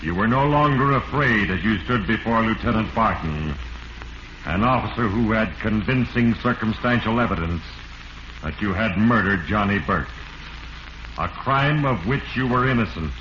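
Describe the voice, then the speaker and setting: An actor voicing a solemn spirit of destiny, narrating a 1940s radio mystery with grave, portentous delivery. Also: male voice, lowish voice, expressive, moderate pace, natural voice. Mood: ominous. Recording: echoey, muffled, noisy vintage radio recording.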